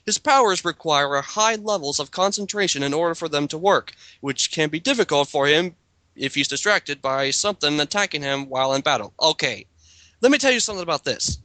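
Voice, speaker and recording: Bright-voiced man reading aloud. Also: fairly high pitch, lively intonation, fairly quick, no echo. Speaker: host reading a character bio aloud. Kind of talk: dramatic reading with casual commentary.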